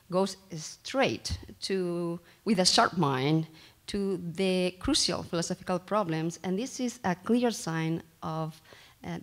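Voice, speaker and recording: Lady in her 30s introducing a speaker at a podium mic, lowish voice, careful and a bit halting, small room. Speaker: faculty member introducing the speaker. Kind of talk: formal introduction speech. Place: small lecture room, indoors. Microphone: podium microphone.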